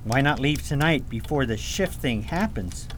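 A woman's voice: rumbling low, normal speed, a little hiss or hum. A woman playing an earnest, anxious and awkward young prince in a radio play. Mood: puzzled. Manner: earnest, excitable, hesitant when shy. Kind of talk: radio theater acting.

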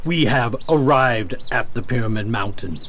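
A man playing a polite, skeptical gentleman traveler in a radio play, formal and measured. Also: mid-range voice, slight background noise, natural voice. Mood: inquiring. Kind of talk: amateur radio play acting.

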